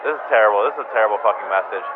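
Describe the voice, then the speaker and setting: A low-energy, downcast man rambling through a confessional voicemail, halting and repeating himself, casual and prone to swearing. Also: some chatter behind. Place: bar, sitting alone. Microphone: phone voicemail recording. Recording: muffled sound, loud bar noise. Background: loud bar ambience.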